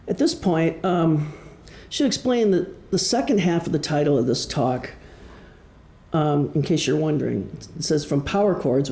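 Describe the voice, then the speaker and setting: A man from Wisconsin with a Midwestern American accent giving an explanatory public talk as an author, with deliberate pauses. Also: fairly high pitch, gravelly, darkish tone. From Wisconsin, United States. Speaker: author presenting his novel. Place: indoor presentation room. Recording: echoey room.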